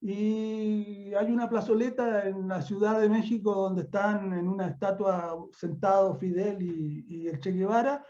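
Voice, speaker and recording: Older man, Chilean accent, high voice, mellow tone, emphatic repetitions, academic lecture. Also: steady tone, unhurried, hardly any pauses. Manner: emphatic, repeats words and phrases for emphasis. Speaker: university professor, guest speaker. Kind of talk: extemporaneous online academic lecture. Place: remote online conference call. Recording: dull lo-fi online call audio.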